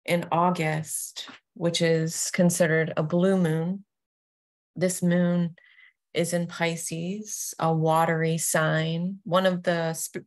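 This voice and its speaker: A woman guides a meditation at an extremely slow pace. Her voice sits slightly low and has a clear creak to it. She phrases things gently and deliberately, leaving long pauses between short phrases. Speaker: yoga nidra teacher.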